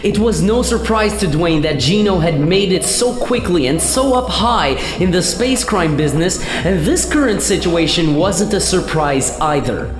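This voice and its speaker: A woman's voice: on the low side, lively intonation, normal speed, hardly any pauses. A woman reading scripted narration for a science fiction radio play. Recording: echoey long reverb, music behind. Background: music.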